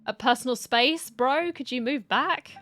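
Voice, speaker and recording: A woman's voice: sits high, measured pace, fairly bright tone, soft background music. A woman streamer giving casual game reaction commentary with dry, wry asides.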